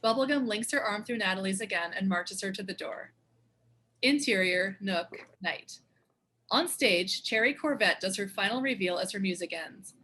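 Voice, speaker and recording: A woman's voice: mid-range voice, moderate pace, sharp tone, husky. A woman reading screenplay stage directions as scripted narration.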